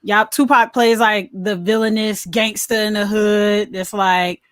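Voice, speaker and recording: A woman's voice: mid-range voice, fairly even intonation, a little slow, fairly bright tone, no echo. A woman co-hosting a casual film review chat, talking in an informal, slangy, conversational way. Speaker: podcast co-host.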